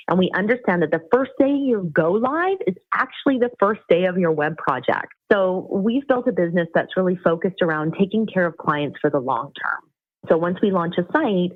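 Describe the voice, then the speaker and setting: A woman's voice: on the low side, no room sound. A woman from Costa Rica who runs a web agency, explaining her business steadily in an interview. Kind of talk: interview answers. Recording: tinny phone-like line, dry.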